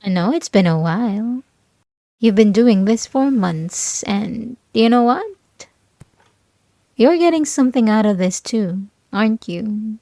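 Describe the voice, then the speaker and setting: A young woman playing a mocking, possessive bully in an intimate ASMR roleplay, condescending and teasing, with breathy asides. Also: a little high, expressive, a little slow, warm tone, no echo. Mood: smug, teasing. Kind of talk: ASMR roleplay, intimate scripted monologue to listener.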